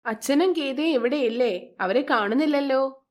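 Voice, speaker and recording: A meek young expectant mother in a moral tale, played by a woman. Her voice is high, with a gentle, respectful way of addressing her elders. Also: moderate pace, natural voice. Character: meek, polite young pregnant stepdaughter in a moral story.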